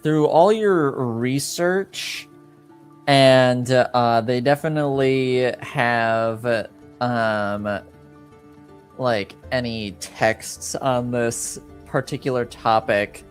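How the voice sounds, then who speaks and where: Slow-paced man running a tabletop game. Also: mid-range voice, soft background music. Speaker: game master. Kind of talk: improvised narration and rules talk in a tabletop game.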